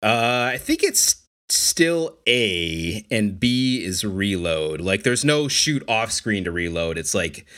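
A man with a fairly low voice talks casually, as a podcast host would. He rambles a little, turning thoughts over reflectively as he goes. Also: big pitch swings, slow pace, few pauses, fairly bright tone. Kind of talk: casual chat about video games.

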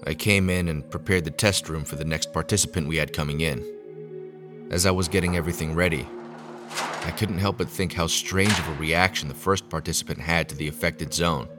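Deep-voiced man narrating over music. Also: steady tone, fairly quick. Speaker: narrator, playing a scientist. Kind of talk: scripted first-person audio drama narration.

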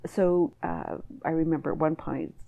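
A woman's voice: deep voice, fairly even intonation, moderate pace, gravelly, warm tone, dull audio. A middle-aged woman with a Canadian accent, speaking as an interview guest in a thoughtful, hesitant way with many restarts. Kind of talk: reflective conversational interview.

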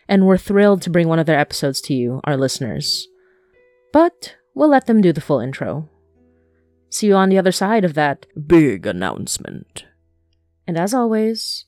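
A woman podcast host reads a scripted announcement. Her voice is a little low with lively movement. The sound is dry and close, with soft guitar music behind. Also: no room sound. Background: plucked guitar music.

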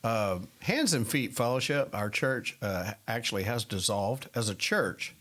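A man's voice: lowish voice, expressive, measured pace, a bit bright. A man hosting a Bible podcast, chatting casually with many fillers and frequent laughs. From United States. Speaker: podcast host and pastor. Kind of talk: casual chat.

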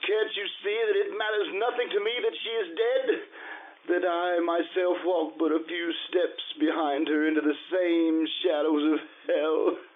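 Tormented, sickly male aristocrat, played by an actor, high voice, unstable and theatrical, for radio horror melodrama; tinny vintage sound. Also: fairly even intonation, moderate pace, lightly acted. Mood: anguished, raving. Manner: theatrical, volatile, swinging from brooding to frantic outbursts. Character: morbid, unstable, ailing aristocrat tormented by dread. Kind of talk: old-time radio melodrama acting. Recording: tinny, narrow-band hissy radio-style recording.

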